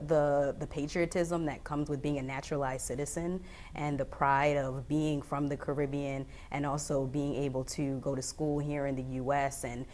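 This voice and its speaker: Low-pitched woman from jamaica, politician talking about her path, earnest and measured. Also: darkish tone. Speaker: state delegate guest. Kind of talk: interview answers.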